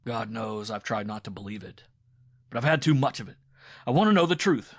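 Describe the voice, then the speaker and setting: A man's voice: fairly low pitch, expressive, brisk, dry recording. A man acting a raging jealous peasant husband. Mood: accusing. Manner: shouting one moment, hissing in a hoarse whisper the next. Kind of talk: audiobook dialogue reading.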